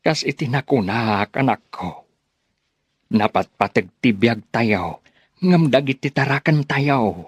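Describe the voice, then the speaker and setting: A man's voice: medium pitch, animated, takes it slowly, slightly rough, no echo. A man acting in a radio drama as a weary, resigned older village farmer who sighs as he talks. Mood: gentle, resigned.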